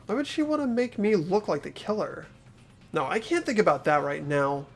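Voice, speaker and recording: A man's voice: high voice, sing-song, moderate pace, heavily acted. A man voicing an anxious, earnest teenage boy in fan-made visual novel game dialogue. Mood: anxious, resolute. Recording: music behind the voice.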